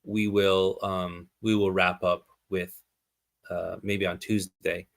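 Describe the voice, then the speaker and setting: A man's voice: sits low, flat delivery, slow pace, gravelly. A man giving a live streamed lesson, thinking aloud through worked examples and answering his own questions. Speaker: teacher explaining zakat calculation.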